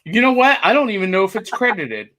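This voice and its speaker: A man's voice: sits high, very animated, fast talker, pushed and bright. A man co-hosting a casual chat over a video call, talking eagerly and rambling about things he found. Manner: enthusiastic, rambling, showing off finds. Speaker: co-host.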